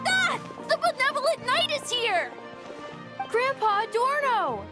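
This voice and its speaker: Small child, very high voice, excitable, slow animated phrases, game character, music behind. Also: female voice, full character voice. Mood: excited. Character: excited small child greeting a grandfatherly knight.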